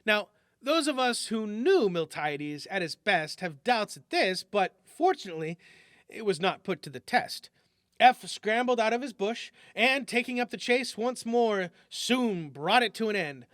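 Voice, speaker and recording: A man reading a story aloud, lively and expressive, lifting his voice for the characters' shouts. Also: a little slow, no room sound, clean studio sound. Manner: lively, raises pitch and volume for shouted character lines.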